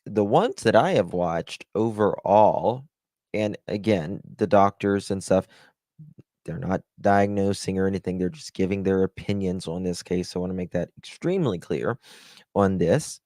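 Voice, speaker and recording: A man's voice: deep voice, normal speed, mellow, no echo, clean studio sound. A man hosting a casual live stream, chatty and self-deprecating, thanking viewers in chat by name. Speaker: livestream host.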